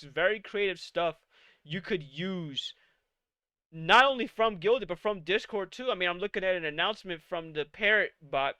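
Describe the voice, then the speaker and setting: A young man in his late twenties with a high, bright, crisp voice, ranting on a live stream. He swears freely and fires off exasperated rhetorical questions. The sound is close and dry, with no room in it. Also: no room sound. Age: 20s. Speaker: podcast host. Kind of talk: live-streamed casual rant.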